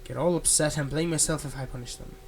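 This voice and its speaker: A man playing a manipulative, soothing male villain in an ASMR roleplay, speaking in a soft, coaxing and dramatic way with deliberate pauses. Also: fairly high pitch, fairly even intonation, slight background noise, close to an everyday voice. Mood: gentle, reassuring. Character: manipulative, soothing male supervillain, tenderly possessive of his captive.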